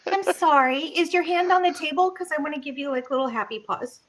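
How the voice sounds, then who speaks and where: A woman's voice: high-pitched, fairly quick, a bit bright, a little hiss or hum. A young woman roleplaying playfully in an online tabletop game, with a chirpy, eager way of talking. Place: home room on a video call.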